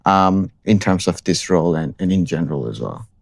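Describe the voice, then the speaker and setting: A young man from Nepal, answering interview questions in a relaxed way, often restarting phrases with ums. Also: low voice, moderate pace, vocal fry, no room sound. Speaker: interviewee, account manager.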